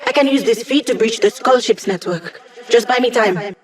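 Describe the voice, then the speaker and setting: A woman's voice: fairly high pitch, moderate pace, noisy background, close to an everyday voice. A woman playing a brainy, wry digital hacker in a video game, talking casually and playfully as the squad's tech support. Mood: upbeat, playful. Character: cheeky, brainy female hacker, a digital holographic young woman.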